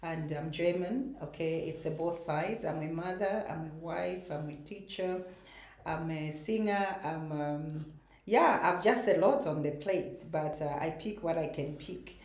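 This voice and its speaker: A middle-aged woman from Zambia, a mother and teacher, telling her story as a guest in a relaxed interview. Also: sits low, unhurried. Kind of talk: casual interview talk. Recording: echoey room.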